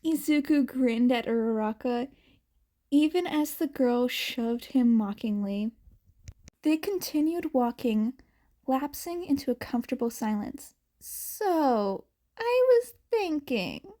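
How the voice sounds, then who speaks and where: A woman reading fanfiction aloud as a narrator in a soft, unhurried way. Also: on the high side. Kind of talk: amateur audiobook narration.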